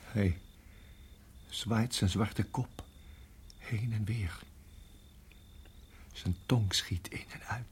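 A man acting in a radio play as a protective husband, speaking in a halting, urgent hush. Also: lowish voice. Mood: tense, hushed. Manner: hushed, halting, urgent whispering. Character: tense, protective husband guiding his endangered wife.

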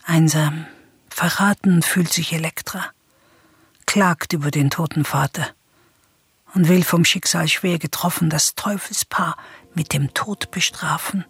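An actress playing a sober chorus woman in a Greek tragedy for radio, speaking in a grave, formal, deliberate way. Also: sits low, breathy edge, no echo, clean studio sound, heavily acted. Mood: grave, counselling. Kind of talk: solemn classical radio drama acting.

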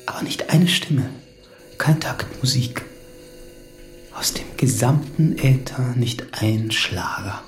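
A man acting a brooding, solitary survivor of vanished humanity in a literary radio drama, measured and reflective. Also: mid-range voice, a little hiss or hum, natural voice. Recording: echoey voice under clear music.

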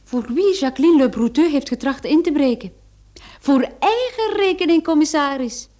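An actress playing a quick-witted young woman, a notary's assistant turned sleuth, with expressive radio drama delivery. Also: sits high. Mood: reflective, clever. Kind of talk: theatrical radio drama acting.

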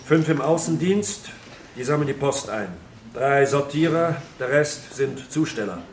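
A man's voice: mid-range voice, lively intonation, unhurried, lightly acted. An actor in a radio play playing a businesslike male manager who explains things in a formal, matter-of-fact way. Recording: roomy, with clear clicking background noise.

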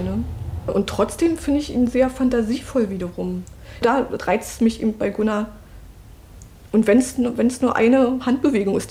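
A woman's voice: mid-range voice, mellow, a little hiss or hum. A woman who leads a theatre group, talking reflectively in an interview.

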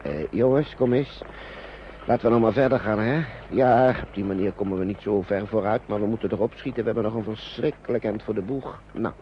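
An actor playing a kindly, worried uncle in a wartime radio play, talking to children in a soothing, coaxing, hesitant way. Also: male voice, on the low side, fairly quick, natural voice. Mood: weary resolve. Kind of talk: Dutch radio play acting. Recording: muffled, noisy old radio recording.

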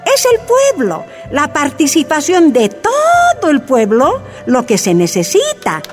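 High, swooping impassioned woman activist. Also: wildly swooping pitch, unhurried, faint music behind, exaggerated voice.

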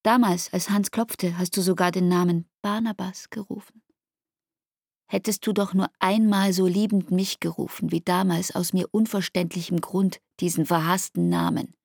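Radio drama: hurt young woman in love. Intimate, reproachful, breathy with some fry, recorded dry. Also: on the low side, fairly even intonation, normal speed, a little mellow, no echo. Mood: pleading, anguished. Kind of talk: literary radio drama acting.

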